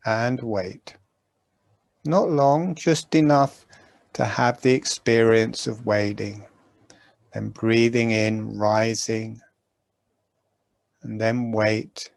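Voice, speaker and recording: A man guiding a breathing meditation, giving calm, gentle instructions with long pauses. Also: fairly low pitch, creaky, no room sound. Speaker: meditation guide.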